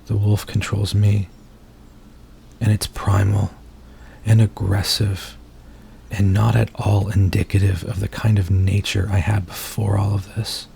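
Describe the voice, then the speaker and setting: Casting: male actor for an anguished, confessional werewolf in human form, ASMR roleplay, gravelly and narrow in intonation, some background noise. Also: on the low side, flat delivery, mellow. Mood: brooding, confessional. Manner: intimate, confessional, halting delivery.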